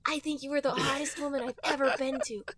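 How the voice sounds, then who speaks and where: A woman's voice: high voice, level tone, fast talker, a bit bright. A woman voicing a nervous, overeager young male cartoon fox in a mock-dramatic fan fiction reading. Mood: earnest, nervous.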